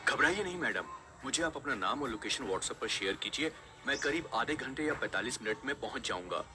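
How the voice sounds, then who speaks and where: A man playing an anxious young ambulance driver in an animated horror story. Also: medium pitch, a little fast, over music, natural voice. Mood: concerned.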